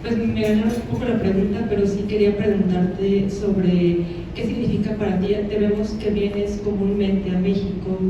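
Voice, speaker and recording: Woman reporter asking a q, flat voice, lots of "eh", far off in a big echoey hall. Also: mid-range voice, brisk. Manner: hesitant, many fillers. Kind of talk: asking a question at a press conference. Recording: distant, reverberant, dull and noisy.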